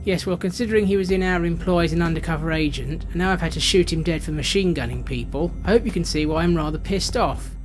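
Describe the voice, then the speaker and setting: A man acts a ruthless, irritable intelligence boss. His delivery is clipped and sardonic, in radio-thriller style. Music plays clearly behind him. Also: fairly high pitch, fast, hardly any pauses, close to an everyday voice. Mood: sardonic anger. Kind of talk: radio thriller drama acting.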